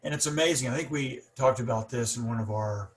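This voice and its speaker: A man's voice: medium pitch, a little fast. A man hosting a casual podcast conversation, talking with a stutter and many repeats and fillers. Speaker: podcast host.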